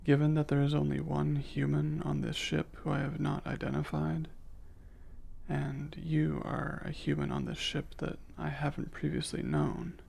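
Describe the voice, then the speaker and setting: A man voicing a curious, emotionally reserved alien scientist in an intimate ASMR roleplay, speaking formally and precisely, with hesitant, measured diction. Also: a little high, monotone, vocal fry, a little mellow, breathy edge, exaggerated voice. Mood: apologetic, formal.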